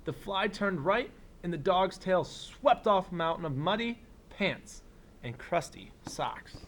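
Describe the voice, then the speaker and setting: A man teaching young children, reading a picture book aloud expressively and pausing for simple explanatory asides. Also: high voice, expressive, fairly bright tone, slight background noise. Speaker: elementary school teacher.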